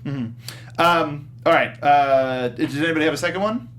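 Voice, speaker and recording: A man's voice: fairly high pitch, normal speed, a bit bright. A man hosting a film review panel, leading a casual discussion and moving it along. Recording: clearly noisy room background.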